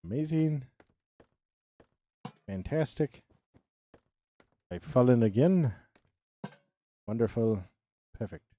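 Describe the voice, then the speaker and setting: A man in his thirties talking his way through a game build on a live stream, chatting to himself and his viewers. His pitch swings up and down a lot, but he takes his time, humming nonsense syllables and repeating words over and over. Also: animated, slow, pauses often, dark tone, no room sound. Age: 30s.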